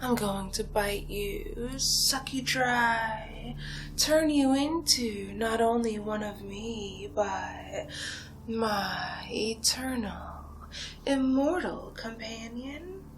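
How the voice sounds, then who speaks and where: A woman playing an ancient, seductive female vampire in a whispered ASMR roleplay, taunting her prey in a breathy, theatrical way with drawn-out pauses. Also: on the high side, slight background noise. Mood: menacing, taunting. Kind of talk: ASMR roleplay monologue, soft-spoken and whispered. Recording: music behind the voice. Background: music.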